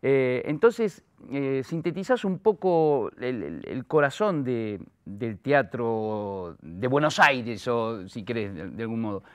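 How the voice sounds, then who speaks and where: An Argentine man with a Rioplatense accent interviewing in a conversational way, haltingly repeating words as he shapes his thoughts. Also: medium pitch, animated, clear, resonant tone. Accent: Argentine Rioplatense Spanish. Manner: halting, repeats words while forming questions.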